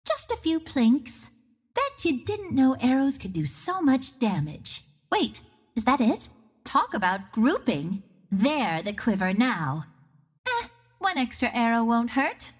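A woman's voice: on the high side, big pitch swings, slow pace, husky, exaggerated voice. A woman voicing a cocky, playful young archer of the wind in a video game, with a confident, teasing manner. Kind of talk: video game character voice lines.

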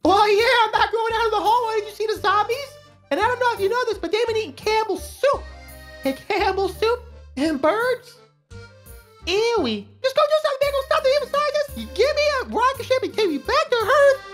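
A man's voice: very high-pitched, expressive, a little fast, a bit bright, soft background music, voice pushed up. A man voicing a whiny, grumpy hermit who drags out his words indignantly in a game roleplay. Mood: grumpy, bossy.

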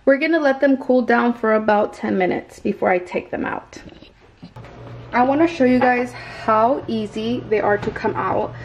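Casting: young female home baking vlogger, fairly high voice, informal and chatty, clearly noisy kitchen recording. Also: moderate pace, dull audio. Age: young adult. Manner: informal, chatty, occasionally crude. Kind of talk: casual instructional chat to viewers. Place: small home kitchen, indoors.

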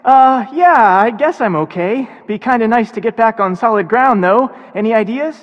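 A man's voice: very high voice, lively intonation, normal speed, lo-fi sound. An actor playing an easygoing young man in a video game, casual, with reverb on the voice. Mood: shaken, relieved. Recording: long cave-like reverb.

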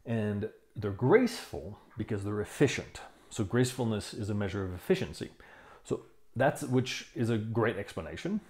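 A man in his fifties giving a casual explanation to camera, thoughtful and halting, stressing key words. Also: fairly low pitch, animated, a little mellow. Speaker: tai chi teacher reviewing a book. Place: small room indoors. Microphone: lapel mic.

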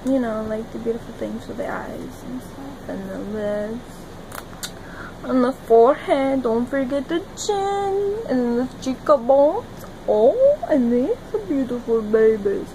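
A young woman talking to her phone camera in a small room, rambling dreamily and giggling, jumping between loud bursts and soft murmurs. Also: on the high side, animated, slow pace, a little hiss or hum, rough recording. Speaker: vlogger. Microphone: phone camera at arm's length.

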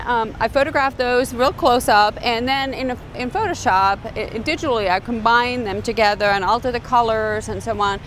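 A woman's voice: on the high side, fairly bright tone. A woman from Finland, an artist and professor, explaining her work in an outdoor interview in a city park. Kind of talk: on-camera interview.

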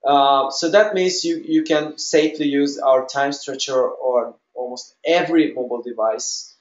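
A man giving a technical explanation in a webinar as a developer, with halting, hesitant phrasing. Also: mid-range voice. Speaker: software developer presenter. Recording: dull, roomy webinar audio.

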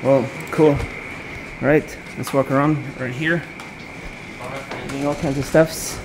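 A man's voice: mid-range voice, steady tone, takes it slowly. A man filming and interviewing on a casual walk around an office, asking short questions and echoing the answers back. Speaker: interviewer and camera operator. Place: open-plan office, indoors. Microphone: handheld camera mic, voice from behind camera. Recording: noisy office ambience, handheld camera sound.

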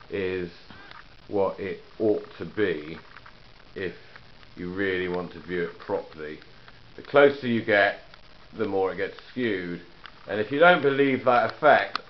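A man giving a rambling, joking walkthrough of his own mural in an indoor studio room, talking away from the camera. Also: fairly low pitch. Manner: rambling, joking, trails off into asides. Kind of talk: casual narrated walkthrough. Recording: dull lo-fi camcorder audio.

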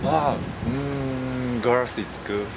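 A man's voice: mid-range voice, steady tone, extremely slow, creaky, heavy background noise. A young man from Japan with a Japanese accent, joking and laughing through a casual interview, recorded outdoors on a handheld camera. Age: young adult. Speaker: language school student. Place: outdoors by a building. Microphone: handheld camera up close.

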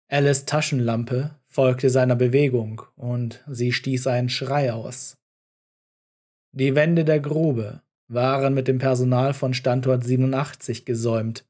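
Ein Mann liest als Erzähler eine Geschichte vom Skript. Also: male voice, mid-range voice, a little mellow, husky. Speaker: narrator. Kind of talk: scripted story narration.

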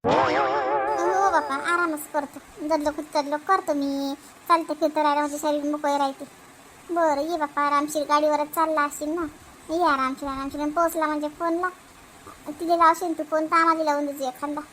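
A woman's voice: sits high, moderate pace, fairly bright tone, a little hiss or hum, rough recording. A woman voicing a fussy older village mother in a cartoon family comedy, with a Vidarbha Marathi accent and a questioning, nagging manner. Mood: resigned.

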